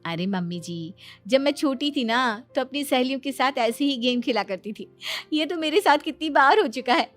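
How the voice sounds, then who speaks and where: A woman's voice: high voice, lively intonation, normal speed, fairly bright tone, soft background music. A woman voicing a boastful, breezy young daughter-in-law who knows everything, in an acted comedy family story. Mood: smug, confident. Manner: boastful, breezy, self-assured. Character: cocky know-it-all young daughter-in-law, proudly showing off.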